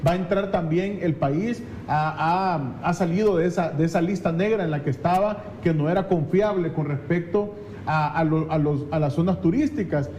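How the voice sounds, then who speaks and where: A man's voice: on the high side, moderate pace, a little mellow, muffled recording. A Salvadoran man speaking as a legislator in a political interview, explaining earnestly and often repeating phrases as he goes. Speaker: legislator, talk show guest. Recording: faint background noise.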